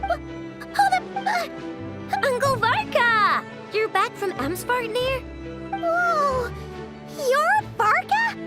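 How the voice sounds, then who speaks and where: A woman voicing a tiny, excitable, cheeky fairy companion in a video game, with a squeaky childlike voice. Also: extremely slow, long pauses, exaggerated voice. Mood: excited. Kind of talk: video game cartoonish acting. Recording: loud game music behind.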